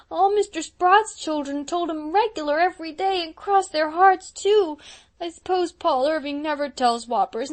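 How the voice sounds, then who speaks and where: A small six-year-old boy from a storybook, full of mischief and questions, as a woman reader puts him on. His voice sits high and a touch loud, with a childish plaintive whine. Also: natural voice. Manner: childish, plaintive, sobbing pleas.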